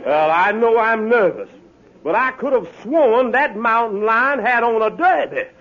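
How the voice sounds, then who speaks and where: A high, fussy, nervous radio tenant. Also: male voice, expressive, measured pace. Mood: indignant. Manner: proper, fussy diction. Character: prim, nervous, indignant male cottage tenant. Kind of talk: 1950s radio comedy acting. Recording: dull, noisy old radio, studio audience.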